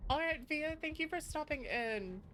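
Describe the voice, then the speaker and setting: A woman's voice: high voice, expressive, normal speed, fairly bright tone, a little hiss or hum. A woman streamer giving casual live game commentary, playful and rambling as she thinks aloud.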